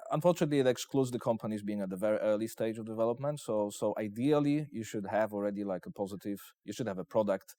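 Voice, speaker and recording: Man, Polish accent, low pitch, investor in an interview, halting business pitch, dry close recording. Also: dark tone, no echo. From Poland. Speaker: investment company representative, interviewee.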